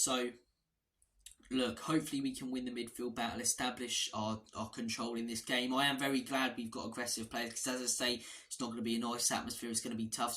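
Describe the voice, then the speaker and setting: A man with a hoarse voice, hosting a fan channel and chatting through a casual football match preview with a few restarts and digressions. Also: medium pitch, pushed and bright.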